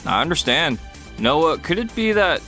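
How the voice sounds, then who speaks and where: A man's voice: a little high, very animated, measured pace, sharp tone, soft background music, full character voice. A man voicing a mild, reasonable young company founder in a dubbed comic drama. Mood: resigned.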